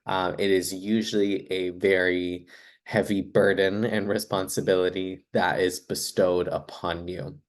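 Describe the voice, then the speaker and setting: Low-voiced man, hesitant and soft. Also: level tone. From Hawaii. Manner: soft-spoken, many hesitant ums and pauses. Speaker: hula teacher. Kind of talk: personal self-introduction.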